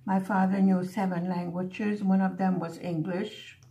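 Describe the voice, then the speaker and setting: A woman in her seventies, a native Hungarian speaker who grew up in the USA, chatting casually and reminiscing with pauses as she works on a craft. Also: fairly low pitch, level tone, warm tone, rough recording. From born in Hungary, grew up in the USA. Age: 70s. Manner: unhurried, pausing storytelling. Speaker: craft vlogger.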